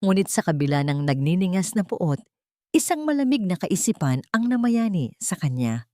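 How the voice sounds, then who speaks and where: A woman telling a dramatic audio story with a low voice that rises and falls a great deal. She reads with feeling, and the sound is close and dry. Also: normal speed, no room sound. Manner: emotive, expressive.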